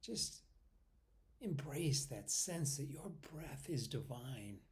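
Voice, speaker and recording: Slow-paced man guiding a meditation. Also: mid-range voice, lively intonation. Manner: unhurried, pausing between short phrases, often trailing off softly. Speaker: meditation guide.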